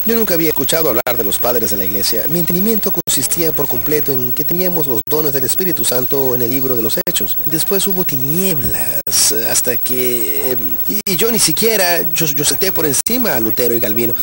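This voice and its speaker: A man from Texas speaks as an interview guest in a middle-pitched voice. He tells his story earnestly and reflectively, and he often pauses and hesitates as he searches for words. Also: sing-song. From Texas, USA. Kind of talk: personal faith testimony in conversation.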